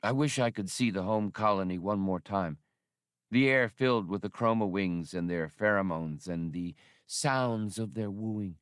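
A man voicing a gentle, wistful many-legged alien musician in a science fiction audiobook. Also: on the low side, a little slow, clear, resonant tone, dry recording, clean studio sound, close to an everyday voice.

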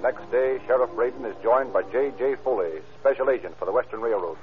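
An actor narrating a crime story in a serious, emphatic 1940s radio style. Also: male voice, medium pitch, fairly quick, close to an everyday voice. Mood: grave, dramatic. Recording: tinny old radio recording. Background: dramatic music.

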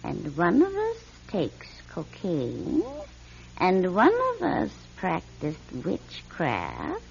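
An actress playing a nosy, smug older spinster in a radio drama, with a sly, knowing, theatrical delivery. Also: female voice, fairly low pitch, wildly swooping pitch, extremely slow, gravelly, a little mellow, dull audio, a little hiss or hum, exaggerated voice. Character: nosy, smug older spinster who collects neighbours' secrets.

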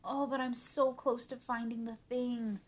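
Woman doing an eager, pleading schoolboy, pitched up. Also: unhurried. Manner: pleading, excitable. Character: eager, anxious young schoolboy, prone to pleading. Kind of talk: children's storybook character voice.

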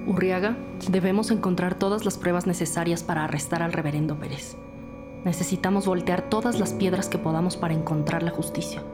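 A composed lead detective acted by a woman in an audio crime drama, with a slightly low voice. She is formal, orderly and insistent, speaking over clear background music. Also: fairly even intonation, brisk, natural voice. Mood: businesslike, determined.

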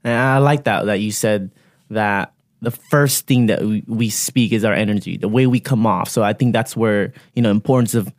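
A man's voice: fairly low pitch, moderate pace. Casual podcast host man, says bro.

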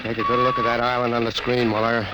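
An actor playing a brisk, hard-nosed military man in a 1950s-style radio drama. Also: medium pitch, monotone, close to an everyday voice. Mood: grim relish. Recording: very noisy old radio recording.

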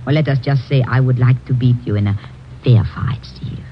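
A woman's voice: very deep voice, moderate pace. An actress playing a cool, determined woman reporter and sly rival, poised and taunting, in an old-time radio drama. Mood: coolly teasing. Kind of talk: 1940s-50s radio drama acting. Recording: noisy, muffled old radio recording.

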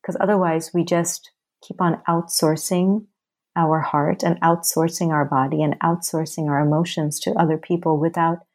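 A woman's voice: deep voice, flat delivery, normal speed, darkish tone. A woman from Bangladesh, an author answering interview questions with earnest, rhythmic, building phrases. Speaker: author guest.

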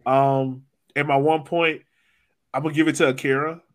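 A man's voice: fairly high pitch, little pitch movement, moderate pace. Casual American man hosting a soccer podcast. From Virginia, United States. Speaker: sports podcast host.

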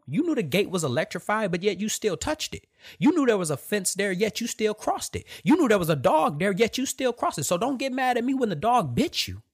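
Soft-voiced man, lively emphatic delivery. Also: medium pitch. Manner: emphatic, repetitive, direct with profanity. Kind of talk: casual motivational talk.

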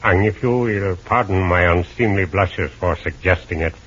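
Deep, husky, courtly old sage. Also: male voice, moderate pace, fairly bright tone. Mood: courtly, resigned. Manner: ornate, humble, formal. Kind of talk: 1940s radio serial acting. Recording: muffled old radio recording.

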